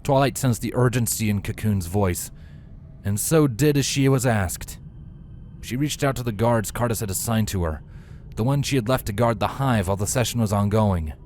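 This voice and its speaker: A man narrates a fantasy story in a dramatic, scripted reading style. His voice sits on the low side. Faint ambient noise runs behind him. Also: normal speed, darkish tone. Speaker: fan fiction narrator.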